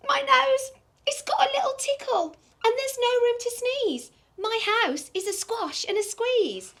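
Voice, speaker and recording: A woman's voice: very high-pitched, unhurried, a bit bright, pitched above the performer's own voice. A woman voicing a flustered, grumbling little old lady in a storybook, exclaiming and pleading dramatically. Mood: distressed.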